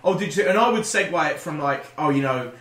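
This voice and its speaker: A man's voice: a little high, lively intonation, brisk, a bit bright, far from the mic. A man telling a rambling, self-deprecating anecdote in casual, sweary banter with friends. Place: indoor room with several people. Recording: echoey room, off the mic.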